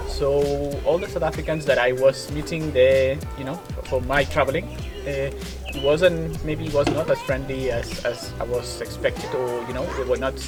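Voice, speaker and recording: A man's voice: mid-range voice, steady tone, normal speed, music in the background. Hesitant man from Spain, outdoors. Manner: hesitant, searching for words. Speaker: interviewee. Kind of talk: casual interview. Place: outdoors on a mountain trail.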